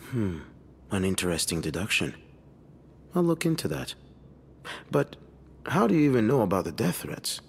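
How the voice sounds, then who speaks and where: An actor playing a skeptical, middle-aged police detective in a mystery game, questioning in a probing, condescending manner. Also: male voice, fairly low pitch, very animated, moderate pace, vocal fry, pauses often. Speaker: video game character. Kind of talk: narrative mystery game voice acting. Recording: long room reverb, faint noise.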